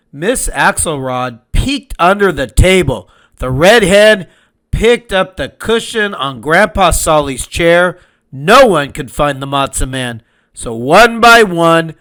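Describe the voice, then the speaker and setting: A man reading a children's storybook aloud as host, in an expressive, measured and deliberate narrating style. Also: fairly high pitch.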